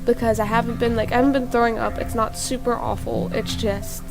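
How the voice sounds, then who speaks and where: A woman's voice: on the high side, flat delivery, a little fast, vocal fry. A young woman with a sore, congested throat, rambling casually as she comments on a game she is playing. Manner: rambling, chatty, with fillers. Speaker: gaming YouTuber. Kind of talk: casual let's-play commentary. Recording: loud game music behind.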